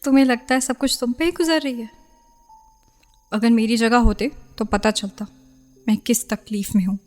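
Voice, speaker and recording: A woman's voice: a little high, fairly even intonation, normal speed, darkish tone, close to an everyday voice. An actress playing an anguished young woman in a radio drama, pleading and defensive, torn between her lover and her parents. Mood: defensive, hurt. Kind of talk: Hindi radio play acting.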